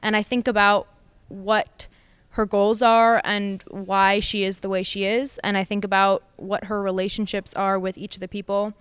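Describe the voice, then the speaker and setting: A woman's voice: mid-range voice, clear, resonant tone, no echo. A teenage female student actor with an American accent, giving reflective, conversational answers in an interview. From United States. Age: teens.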